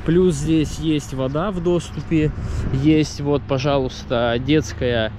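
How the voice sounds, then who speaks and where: A man's voice: a little high, steady tone, slow pace. A man in his thirties chatting casually as he walks, filming himself with a camera at arm's length outdoors by a seaside harbor. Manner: chatty, rambling, talks while walking. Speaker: travel vlogger. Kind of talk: casual walking vlog. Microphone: camera held at arm's length. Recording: outdoor wind and street noise.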